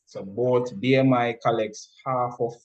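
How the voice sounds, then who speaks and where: A man's voice: mid-range voice, steady tone, a little slow, lo-fi sound. A man talking informally as an interview guest, explaining his points step by step and often repeating and rephrasing them.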